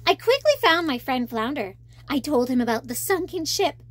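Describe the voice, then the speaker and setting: A woman's voice: high voice, very animated, normal speed. A woman reading a storybook expressively to children as a storyteller.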